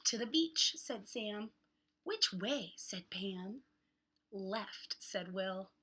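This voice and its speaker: A woman's voice: medium pitch, very slow, breathy edge. A woman reading a picture book aloud for young children, with exaggerated, dramatic emphasis on words. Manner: exaggerated, animated delivery with dramatic word emphasis. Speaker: children's read-aloud host.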